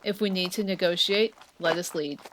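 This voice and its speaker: A woman's voice: lowish voice, moderate pace, slight background noise, close to an everyday voice, low-quality recording. A woman playing a seasoned, friendly vampire hunter who mentors a newcomer in a conversational, teasing way in a fantasy audio roleplay. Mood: serious, reassuring. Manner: conversational, explanatory, playful teasing. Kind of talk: scripted fantasy audio roleplay addressed to the listener.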